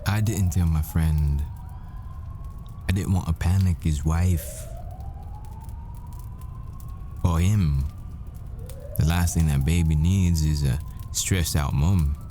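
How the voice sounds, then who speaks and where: A man playing a world-weary, foul-mouthed assassin boyfriend in a dramatic audio roleplay, brooding and deliberate in his storytelling. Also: deep voice, dark tone, slight background noise. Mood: rueful, confessional.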